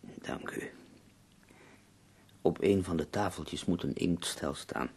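An actor playing an ailing, intense middle-aged poet in a radio play, husky and gravelly, speaking with theatrical emphasis. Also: male voice, low-pitched, slow pace, darkish tone, in a whisper. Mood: measured. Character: ailing, intense male German poet dictating to a woman.

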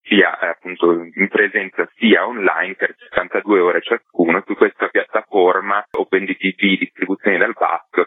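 A man with a fairly low voice speaks as a guest on the radio. His delivery is a bit halting as he explains details. The sound is narrow and phone-like, with no room echo. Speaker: guest, festival curator. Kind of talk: radio interview, explaining a programme. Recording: muffled, phone-like narrow band.

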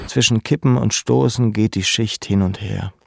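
An actor playing a young, melancholy camp prisoner in a measured, introspective radio drama narration. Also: male voice, fairly low pitch, creaky, a little hiss or hum. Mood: sober, reflective. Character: young deported male labour camp prisoner, melancholy, watchful.

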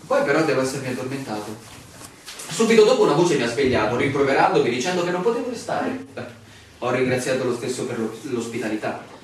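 In a very echoey, distant radio play recording, a weary male stranger recounts his bewildering walk , as acted by a man. His pitch swings animatedly as he tells it with deliberate, theatrical emphasis. There is a faint layer of noise. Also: sing-song, natural voice. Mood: weary, absorbed recounting. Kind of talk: theatrical radio drama monologue.

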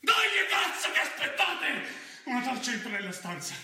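An actor playing a restless, foul-mouthed young fugitive in a theatrical monologue, with a Roman accent and a colloquial manner. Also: male voice, extremely high pitch, moderate pace, raised voice, a faint crowd, full character voice. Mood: agitated, defiant. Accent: Roman Italian. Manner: colloquial, swearing, swinging from brooding to excited play-acting. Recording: roomy, distant mic with faint noise.

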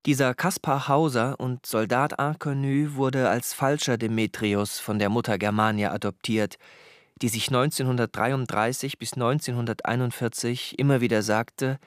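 A man's voice: mid-range voice, steady tone, moderate pace, dry recording, clean studio sound. A man delivering scripted literary narration in a declarative reading manner.

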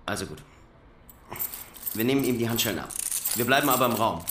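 An actor playing a stiff, official prison guard in a German radio drama. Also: male voice, medium pitch, lively intonation, a little fast, fairly bright tone, slight background noise, natural voice.

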